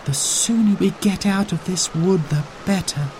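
A man doing a timid, high young girl. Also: normal speed, background noise, natural voice. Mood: scared. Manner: anxious, pleading.

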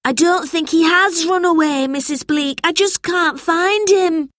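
Woman playing an anxious, high-pitched little schoolboy. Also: unhurried, no echo. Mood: upset, pleading. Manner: pleading, worried. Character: anxious, earnest young schoolboy searching for brother.

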